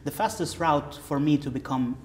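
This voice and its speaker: A man's voice: on the high side, normal speed. A young man whose first language is Arabic, speaking articulate English in an interview with frequent "uh" and "you know" fillers. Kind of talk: conversational interview.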